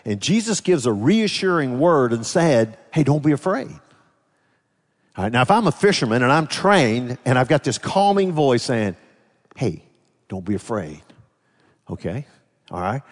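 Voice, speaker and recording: Animated male preacher in a reverberant hall. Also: mid-range voice, measured pace, long pauses. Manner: conversational, rhetorical questions to the congregation. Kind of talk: sermon.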